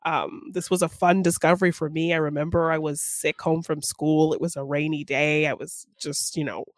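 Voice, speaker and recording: A woman's voice: sits low, steady tone, moderate pace, dry recording, studio quality. Woman in casual podcast chat. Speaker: podcast co-host.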